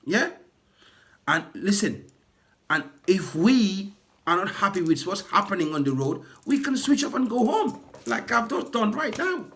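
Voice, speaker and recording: A man who works as a ride-hailing driver, hoarse from losing his voice, delivering an indignant, repetitive rant to camera for his vlog. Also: high voice, sing-song, a little slow, fairly bright tone. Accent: British. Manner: indignant, repetitive, building to impassioned outbursts.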